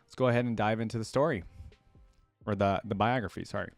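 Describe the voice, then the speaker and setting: A man's voice: lowish voice, fast, creaky, dry recording, soft background music. A man hosting a reaction channel, moving between casual commentary and reading aloud.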